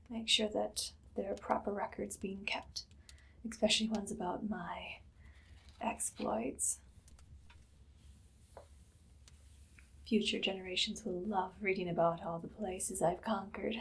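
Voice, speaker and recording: A haughty evil queen, acted by a woman, in an ASMR roleplay. She speaks in a hushed, imperious way at a middle pitch, often dropping into whispers, with cruel dark amusement. There is some background noise. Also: gravelly. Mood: condescending, businesslike. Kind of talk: ASMR roleplay monologue, personal attention.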